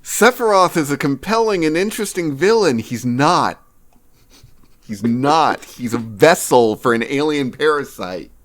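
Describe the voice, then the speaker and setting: A man's voice: a little high, expressive, unhurried. A man co-hosting a podcast, chatting casually about video games in a blunt, opinionated way. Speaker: podcast co-host. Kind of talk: casual video game discussion.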